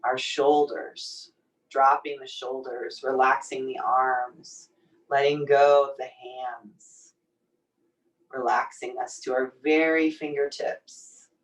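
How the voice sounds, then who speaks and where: A woman leading a guided meditation in a soothing, gently encouraging, unhurried manner. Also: rumbling low, little pitch movement. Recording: distant microphone pickup.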